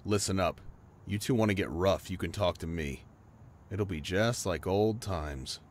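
A man's voice: deep voice, measured pace, slight background noise. A man playing a defiant young tough in an audio drama, sarcastic and cutting as he goads a rival. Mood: challenging. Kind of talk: audio roleplay acting.

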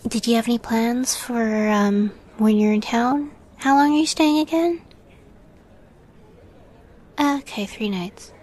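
Woman acting a shy, slow-talking young catgirl. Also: fairly high pitch. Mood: friendly, casual. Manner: hesitant, soft-spoken, often dropping to a whisper. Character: shy, sweet young catgirl roommate pretending to be girlfriend. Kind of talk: girlfriend roleplay audio acting.

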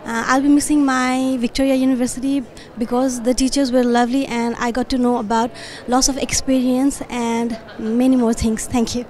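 A woman's voice: a little high, little pitch movement, normal speed, hardly any pauses, darkish tone. A young woman, a new graduate, giving a short testimonial into a handheld microphone. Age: young adult. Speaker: graduating master's student. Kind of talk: testimonial to camera.

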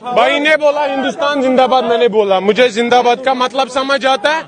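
A man's voice: extremely high pitch, brisk. A young man from Kashmir in a heated street argument, defensive and pleading to be heard, talking into a handheld mic outdoors at night. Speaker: interviewee. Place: outdoor city street at night, crowd around. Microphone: handheld mic held to his face. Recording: loud crowd behind.

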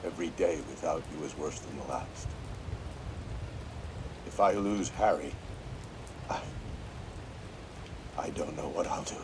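A man's voice: unhurried, pauses often. A middle-aged man playing a bitter, broken, grieving father in a video game. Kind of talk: video game cutscene acting. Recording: rain and rumble behind.

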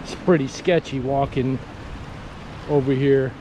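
A man's voice: medium pitch, steady tone, a little slow. A man in his forties, chatty and upbeat, narrating his magnet fishing from an old railroad bridge into a wearable camera. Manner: upbeat, chatty, talks to the viewer while working. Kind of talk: casual outdoor vlog narration. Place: outdoors on an old railroad bridge over water. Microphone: wearable action camera. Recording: noisy outdoor action-cam audio.

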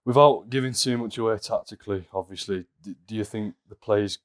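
A man asking a football manager post-match questions in an even, conversational way. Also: fairly low pitch, brisk. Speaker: club media interviewer.

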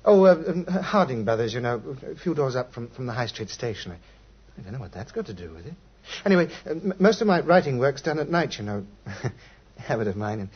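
A man's voice: medium pitch, very animated, fairly quick, breathy edge. An actor playing a nervous, shaken British newspaper reporter in a 1950s radio drama, stammering and repeating his words. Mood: flustered. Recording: dull, muffled 1950s radio recording.

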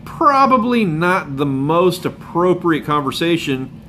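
A man hosts a fishing channel live stream from home, chatting loosely with viewers and sounding hoarse from a cold. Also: on the high side, very animated, takes it slowly, bright, forceful tone, slight background noise. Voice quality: rambling, laid-back, with chuckles and filler words. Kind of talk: casual live stream chat. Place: home room with bookshelves and a window. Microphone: phone camera up close.

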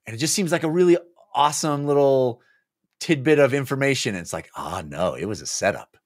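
A man in his forties co-hosts a casual book discussion. His voice sits at an average pitch and he makes his points emphatically, circling back for dramatic weight. The sound is dry and close, from a podcast mic in a small room. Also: animated, fairly quick, no echo. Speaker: book club podcast co-host. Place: small home office, indoors. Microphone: close podcast mic on boom arm.

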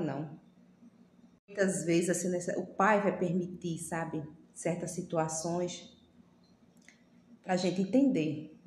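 A woman's voice: on the low side, a little mellow. Woman preaching into her phone, pleading and fervent, echoey little room, faint hum. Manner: fervent, pleading, often slow and emphatic. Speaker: lay preacher. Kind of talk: devotional sermon to camera. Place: small room indoors. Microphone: phone camera at arm's length.

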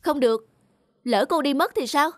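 A woman's voice: high-pitched, normal speed, over music, natural voice. A woman voicing a stern but kind-hearted young businessman in a cartoon, speaking in a firm, lecturing way. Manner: firm, lecturing, matter-of-fact. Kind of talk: cartoon voice acting.

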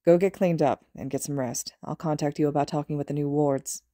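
A woman's voice: sits low, fairly even intonation, brisk, warm tone, dry recording, studio quality, lightly acted. A woman reading as a snappish male teacher. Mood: curt.

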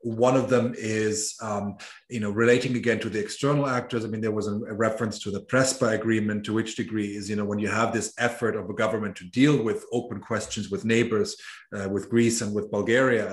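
A man moderating an online panel discussion, talking in a measured, hesitant way with many fillers. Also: lowish voice, roomy sound.

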